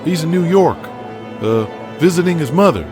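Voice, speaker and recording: A man playing an uneasy wrestling board member speaks in a fairly high voice. He is hesitant and evasive, with music behind him in an audio drama. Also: expressive, moderate pace, lightly acted. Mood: nervous, evasive.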